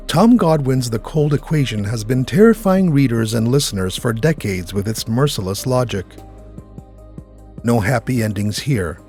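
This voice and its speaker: A man's voice: mid-range voice, darkish tone. A man hosting a story collection with polished, dramatic scripted narration. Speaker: host introducing stories.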